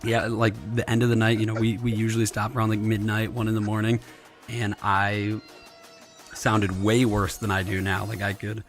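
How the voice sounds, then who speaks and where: A man hosting a casual livestream, his voice slightly scratchy and subdued as he recovers from losing it, hesitant and often clearing his throat. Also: fairly low pitch, level tone, moderate pace. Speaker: streamer, host. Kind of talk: casual livestream chat with viewers and fellow players. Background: music.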